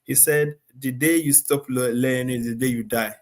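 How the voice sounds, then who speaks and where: A man's voice: medium pitch, fairly even intonation, normal speed. A man hosting a live stream, affirming his guest and thanking viewers, with frequent stammers and laughs. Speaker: host.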